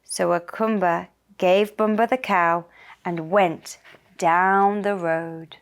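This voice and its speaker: A woman narrating a children's puppet-show story in simple, deliberate phrases. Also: on the low side, steady tone.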